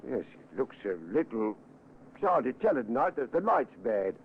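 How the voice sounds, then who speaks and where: An actor playing a cooperative petrol station keeper in an old radio drama, plainly and hesitantly recalling things. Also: male voice, medium pitch, sing-song, normal speed, a little hiss or hum. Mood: helpful, uneasy. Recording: muffled narrow vintage radio recording.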